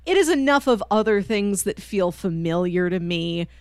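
A woman's voice: mid-range voice, expressive, moderate pace, clean tone, dry recording. Woman co-hosting a podcast, dry close sound. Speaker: podcast co-host. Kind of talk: casual book discussion chat.

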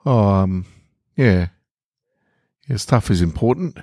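A man reading the part of a shy child in a short story, tentative and halting. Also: fairly low pitch, lively intonation, warm tone, no room sound, studio quality, heavily acted. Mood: hesitant, let down. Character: shy, hesitant child wanting a hug.

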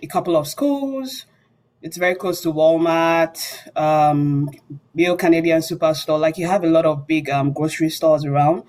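A woman's voice: sits low, moderate pace, darkish tone. A woman, around her thirties, introducing herself and sharing experience informally as a student panelist.

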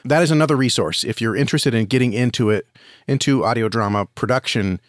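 Guy on a podcast giving earnest advice, average pitch, dry sound, kinda rewording as he goes. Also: fast talker, no echo. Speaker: podcast guest, audio drama creator and reviewer. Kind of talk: conversational interview answer, giving advice.

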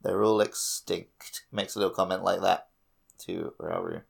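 A man's voice: deep voice, very animated, a little slow, vocal fry. A man hosting a podcast, casually discussing a game in an informal, explanatory way. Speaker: podcast host.

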